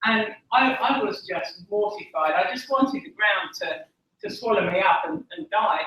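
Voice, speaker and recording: Bright-voiced Essex woman in an echoey hall. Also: mid-range voice, expressive, normal speed, recorded from a distance. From Essex, England. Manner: candid and earnest, with frequent ums and self-deprecating asides. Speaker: conference speaker. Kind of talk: personal testimony speech. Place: large conference room.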